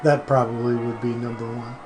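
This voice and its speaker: A man chatting as a podcast guest in a halting, deliberate way. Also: medium pitch, little pitch movement. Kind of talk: casual chat.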